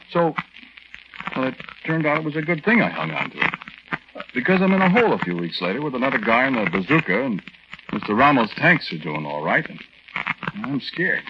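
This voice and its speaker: An American actor playing a nervous war veteran who tells his strange tale in halting, colloquial, confiding narration for a 1940s radio drama. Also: mid-range voice. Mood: tense recounting. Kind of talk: 1940s radio drama monologue, conversational first-person narration. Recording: noisy, muffled 1940s radio recording with clicks.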